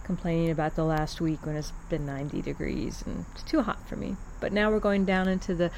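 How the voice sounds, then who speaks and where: A middle-aged woman hosting her own podcast, talking in a relaxed, rambling way with soft whispered asides. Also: fairly low pitch, a little fast, creaky, a little hiss or hum. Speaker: podcast host. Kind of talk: casual chatty monologue.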